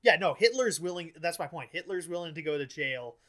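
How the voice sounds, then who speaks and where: A man's voice: fairly high pitch, animated, a bit bright. Rambling man talking politics casually. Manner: rambling, halting, frequent "like", casual swearing. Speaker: podcast host.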